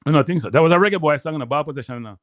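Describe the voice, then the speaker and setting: A Jamaican man hosting a live stream, rambling casually to his viewers and repeating himself, with a deep voice. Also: animated, very fast, no echo, studio quality. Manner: rambling, repeats phrases, addresses viewers as people. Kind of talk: casual livestream chat.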